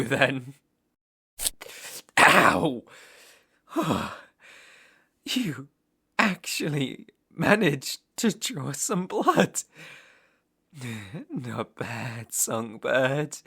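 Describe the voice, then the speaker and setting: A British man playing an obsessive, menacing ex-lover in an ASMR roleplay, speaking with deliberate mock tenderness and possessive mockery. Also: medium pitch, creaky, bright, forceful tone, exaggerated voice. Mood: angry, then mocking, sadistic. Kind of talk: ASMR roleplay audio drama, intimate second-person acting.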